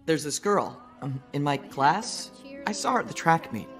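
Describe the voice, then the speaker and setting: An actor playing a nervous, awkward teenage schoolboy in an animated film, hesitant and then rushed. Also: male voice, fairly high pitch. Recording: film soundtrack with music behind.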